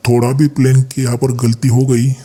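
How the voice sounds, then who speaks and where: A man's voice: mid-range voice, level tone, moderate pace, mellow, breathy edge. An Indian man hosting a gaming channel, giving casual gameplay commentary and explaining things to viewers as his friends.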